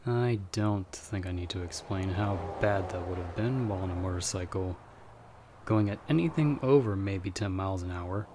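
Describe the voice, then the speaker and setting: A man playing a dry, guarded but protective vampire hunter in an ASMR audio roleplay, speaking in a measured, wry way. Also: low voice, background noise, close to an everyday voice. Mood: calm, explanatory. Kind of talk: ASMR audio roleplay, scripted monologue to listener.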